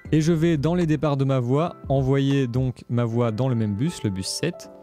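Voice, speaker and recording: A man's voice: medium pitch, fairly even intonation, normal speed, mellow. A man presenting a music production tutorial in a casual, step-by-step explanatory way. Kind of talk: casual screencast tutorial narration.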